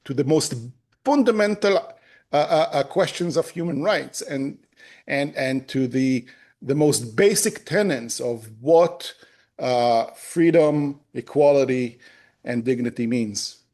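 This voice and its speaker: A man in his fifties from Israel, a native Hebrew speaker, talking as a guest in an interview, pausing often with fillers and restarts. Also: medium pitch, a little mellow. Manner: hesitant, many fillers and restarts. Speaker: human rights lawyer, guest. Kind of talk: conversational interview.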